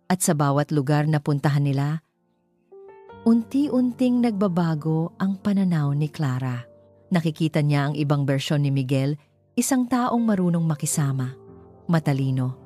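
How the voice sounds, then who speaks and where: A woman's voice: low-pitched, mellow. A woman with a Filipino accent reading a scripted romance story as its narrator.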